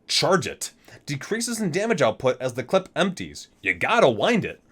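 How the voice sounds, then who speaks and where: A man's voice: a little high, huge pitch swings, normal speed, a bit bright, slightly rough. A man reading aloud in an ASMR style with casual asides, in an enthusiastic, geeky and chatty manner. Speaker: video host reading aloud from a book.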